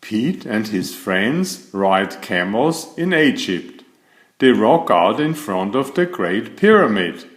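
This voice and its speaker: Male children's story reader. Unhurried, very lively intonation, roomy echo. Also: on the low side. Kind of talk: expressive read-aloud storytelling.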